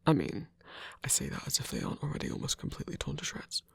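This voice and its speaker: A man voicing a gruff but caring male werewolf lover in an ASMR romance roleplay, with a British accent, a raspy half-whisper and a casual, coaxing manner. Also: mid-range voice, wildly swooping pitch, dry recording, exaggerated voice. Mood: practical, reassuring. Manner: casual, coaxing, hesitant pauses.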